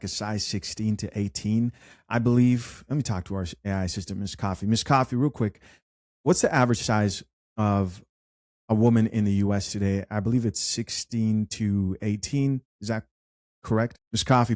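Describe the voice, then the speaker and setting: A man hosting an unscripted commentary show, chatty and animated as he reads viewer comments aloud. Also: lowish voice, no echo, clean studio sound. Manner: chatty, animated, with frequent fillers and restarts. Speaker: host.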